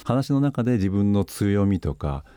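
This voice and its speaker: A man's voice: on the low side, sing-song, unhurried, mellow, smooth, no echo, studio quality. A man talking conversationally on a radio show, explaining things in a polite, modest way. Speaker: marketing consultant, radio show regular.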